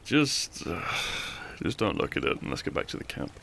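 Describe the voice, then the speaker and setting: Man acting a cheeky low-voiced bandit outlaw. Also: very animated, moderate pace, gravelly, a bit bright, a little hiss or hum, full character voice. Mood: pained, reassuring. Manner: teasing, gallant, dramatic. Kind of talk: audio roleplay acting, addressing the listener.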